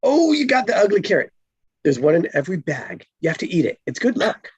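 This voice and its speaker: A woman reading the part of a friendly, teasing police officer with a husky, creaky voice, in a casual table read. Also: fairly low pitch, big pitch swings, fairly quick, a little mellow, no room sound, muffled recording, exaggerated voice. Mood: playful, encouraging.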